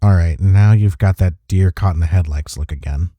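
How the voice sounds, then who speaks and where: Man acting as caring gruff workplace rival, audio roleplay, husky, soft-spoken, intimate, close dry sound. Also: low-pitched, normal speed, no room sound. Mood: sincere, admiring. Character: gruff but caring male office rival, secretly admiring.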